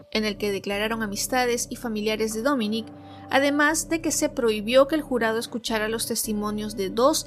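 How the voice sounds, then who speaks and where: A woman narrating a scripted true crime story as host, in a measured, clearly phrased way. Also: on the high side. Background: background music.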